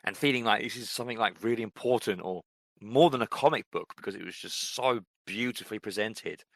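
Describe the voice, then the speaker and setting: Male podcast co-host in his thirties; low, forceful voice, reflective and rambling. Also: fairly quick, gravelly, no room sound. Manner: reflective, rambling with many fillers and restarts. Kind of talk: casual chat.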